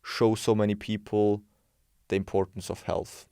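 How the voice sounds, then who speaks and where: A man's voice: lowish voice, flat delivery, a little slow, creaky, warm tone, smooth, no room sound, studio quality. A man, a coach giving frank, opinionated answers in a casual interview, thinking aloud as he goes. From Switzerland. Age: 20s. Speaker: guest, bodybuilding and health coach.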